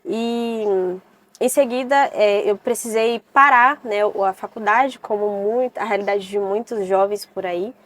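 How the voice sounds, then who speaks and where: A woman in her twenties from Salvador, Brazil, talking earnestly as a podcast guest and often pausing and adding "né". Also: mid-range voice, steady tone, fairly bright tone. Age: 20s. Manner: earnest, with many hesitations and "né" tags. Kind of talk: casual interview chat.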